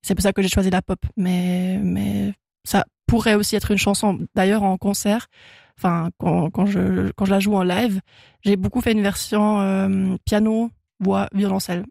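A woman's voice: mid-range voice, level tone, moderate pace, gravelly, a little mellow, dry recording, studio quality. A young Swiss woman whose first language is German, a singer chatting casually in a radio interview, laughing often and full of fillers and restarts. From Switzerland. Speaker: guest singer-songwriter. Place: radio studio.

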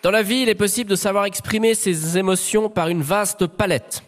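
High-voiced male panelist in a reverberant hall. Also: moderate pace, noisy background. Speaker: comedy panelist on a radio game show. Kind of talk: performed humorous definition to an audience. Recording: reverberant hall with a live audience.

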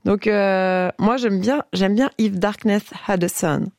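Playful woman radio host, gravelly. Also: mid-range voice, takes it slowly, darkish tone, dry recording, studio quality. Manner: playful, laughs often, hesitant reading of titles. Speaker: late-night radio host. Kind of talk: casual phone-in chat.

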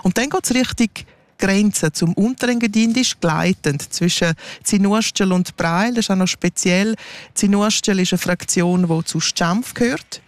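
A woman's voice: medium pitch, a little slow, no room sound. Woman explaining her valley. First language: Romansh. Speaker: guest, regional radio editor. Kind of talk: casual interview.

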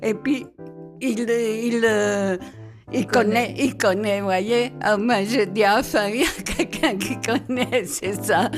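Femme âgée à l'italien, pensive. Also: female voice, a little high, over music. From central Italy. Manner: reflective, halting, repeats words as she tells her stories. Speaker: interviewee. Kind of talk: reminiscing storytelling. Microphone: handheld mic held by the interviewer.

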